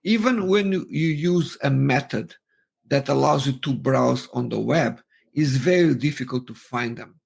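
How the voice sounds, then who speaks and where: A man co-hosting a discussion show, speaking haltingly with many stammered restarts. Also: mid-range voice, vocal fry. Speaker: podcast co-host. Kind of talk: casual discussion.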